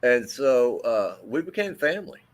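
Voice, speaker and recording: A middle-aged army veteran with a deep, carrying voice tells a personal story in an interview, speaking haltingly with many fillers and restarts. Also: male voice, very animated.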